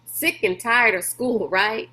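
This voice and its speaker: A woman's voice: fairly high pitch, takes it slowly, bright, forceful tone, low-quality recording. A large, pushy man from a storybook, as acted out by a woman reader. He hollers in a folksy drawl and likes to boss people around. The delivery takes its time. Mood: boisterous, teasing. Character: large brash bossy man, folksy schemer.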